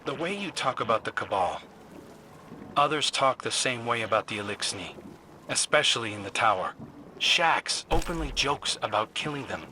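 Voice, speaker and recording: An actor playing a wry, compassionate young newcomer scout in a video game, conversational and dryly witty. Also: male voice, medium pitch, expressive, moderate pace, pushed and bright, a little hiss or hum. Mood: earnest.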